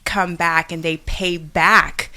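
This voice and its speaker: A woman's voice: on the low side, steady tone, sharp tone. A young woman co-hosting a podcast, asking questions in a chatty way full of "like". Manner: chatty, quick, filler-heavy with "like". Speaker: co-host. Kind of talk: podcast interview.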